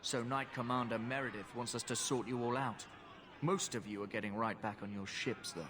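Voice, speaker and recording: Male actor, fantasy game city guard, gruff, contemptuous, blunt, mid-pitched, noticeable ambient noise. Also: fairly even intonation, fairly quick, lightly acted. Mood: weary, dismissive. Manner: scornful, dismissive, blunt. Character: gruff, contemptuous city gate guard turning refugees away. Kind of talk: video game voice acting. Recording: clear outdoor ambient noise.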